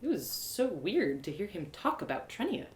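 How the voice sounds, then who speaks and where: A woman's voice: mid-range voice, sing-song, moderate pace. Woman storyteller in an echoey room. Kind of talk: dramatic first-person story narration. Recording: roomy echo of home live reading.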